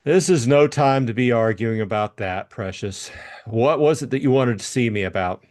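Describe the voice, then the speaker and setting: A man's voice: mid-range voice, moderate pace, darkish tone, natural voice. A man voicing a patient but weary hard-boiled detective in an audiobook, evasive and brushing people off. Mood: weary, dismissive.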